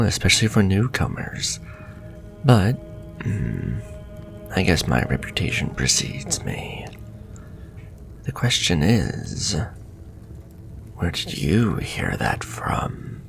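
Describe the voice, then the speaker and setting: Man playing a deep, slow, gravelly, seductive warlock. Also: exaggerated voice. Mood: suave, hospitable. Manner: soft, breathy, courteous, seductive, drifting into whispers. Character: suave, flirtatious, sinister male warlock potion seller. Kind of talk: ASMR fantasy roleplay.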